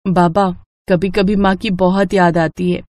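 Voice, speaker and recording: A woman playing a dutiful young potter's daughter in a cartoon story, gentle and innocent in manner. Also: on the low side, flat delivery, unhurried, no room sound, studio quality, lightly acted. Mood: caring.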